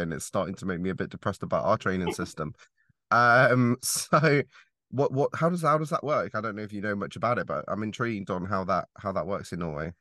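Low-voiced man hosting a podcast. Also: sharp tone, dry recording, studio quality. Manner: hesitant, many fillers and restarts. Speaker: podcast host, driving instructor trainer. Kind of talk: casual interview chat.

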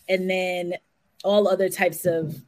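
A woman hosting a live chat, talking in a lively, friendly way and laughing easily. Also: fairly low pitch, slow. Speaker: host. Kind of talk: casual live stream chat.

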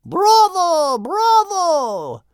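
A woman puts on an excitable storybook animal, boisterous and wide-eyed. The voice is pitched high and swoops wildly, exclaiming each phrase. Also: drawn-out words, no echo, studio quality, exaggerated voice. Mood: thrilled.

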